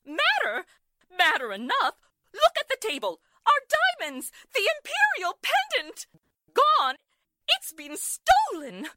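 A very high, bright, middle-aged society matron in a 1940s-style radio play. She gushes and flutters theatrically, swooping across her whole range and always close to hysteria. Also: huge pitch swings, takes it slowly, no echo, exaggerated voice. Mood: hysterical. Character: gushing, flighty, hysterical wealthy society matron.

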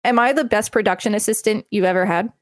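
A woman's voice: mid-range voice, quick pace, no room sound, clean studio sound. A woman co-hosting a podcast, reading an article aloud and adding casual commentary. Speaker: podcast co-host.

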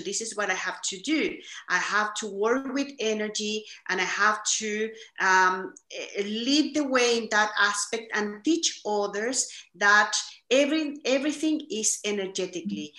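Lady, soft and thoughtful, lots of ums and restarts, bright voice, roomy echo. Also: medium pitch. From Chile. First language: Spanish. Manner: soft-spoken, reflective, halting with fillers and restarts. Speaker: guest. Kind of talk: podcast interview. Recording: echoey room.

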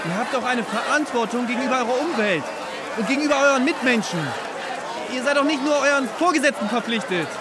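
A confrontational young climate activist in an audio drama. Her voice is very high and loud. A big, noisy outdoor crowd surrounds her. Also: lively intonation, fast talker. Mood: reproachful. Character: hostile, fiery young female climate activist. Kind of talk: radio drama crowd scene.

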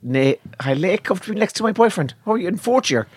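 A man's voice: sits high, very animated, fast talker, husky, no room sound, clean studio sound. An Irish man co-hosting a podcast, teasing his co-hosts in casual banter and dropping into a mock whisper for jokes. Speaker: podcast co-host.

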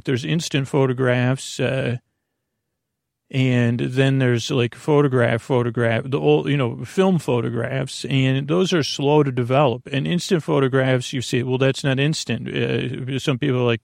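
A man with a creaky, gravelly voice at an ordinary male pitch rambles through a soothing bedtime podcast monologue. He speaks haltingly and wanders off into digressions. The sound is close and dry. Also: fairly even intonation, a little mellow, no echo. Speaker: podcast host.

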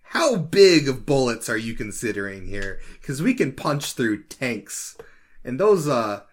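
A man co-hosting a casual podcast, speaking in a jokey, animated way. His mid-pitched voice swings widely up and down as he speculates in loose, conversational talk. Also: measured pace. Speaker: podcast co-host.

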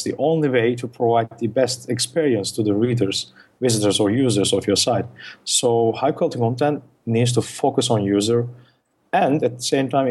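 Husky-voiced man. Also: mid-range voice, a little mellow. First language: Turkish. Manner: explains in lists, with frequent uh fillers and restarts. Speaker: guest, search marketing consultant. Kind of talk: podcast interview answers.

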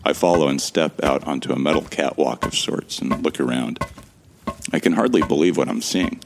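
A man's voice: rumbling low, normal speed, creaky, noisy background. An actor playing a bewildered amnesiac man who wryly narrates his ordeal in the first person for a sci-fi audio drama. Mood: wry, musing. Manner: wry, musing.

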